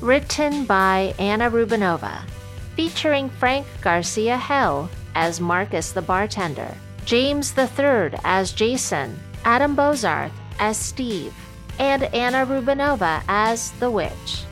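A woman's voice: on the high side, lively intonation, takes it slowly, a bit bright. Female credits announcer, scripted read, faint music bed.

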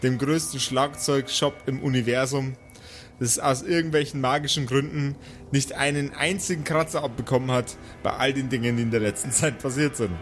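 A man runs an improvised pen and paper game. He narrates in an average-pitched voice with a playful showman's delivery. Soft music sits behind him. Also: steady tone, moderate pace. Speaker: game master. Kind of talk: improvised tabletop role-play narration. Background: faint background music.

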